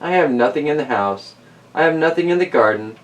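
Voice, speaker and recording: A storybook little old lady, played by a man, guarded and full of disbelief. The pitch lifts and swings about in lively fashion, and the voice sits a little away from the mic. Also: brisk, far from the mic, natural voice, low-quality recording. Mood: curt.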